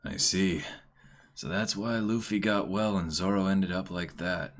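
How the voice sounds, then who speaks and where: A man voicing a gruff, brooding young pirate cook who mutters tersely in a low voice. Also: steady tone, measured pace, fairly bright tone. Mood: grim.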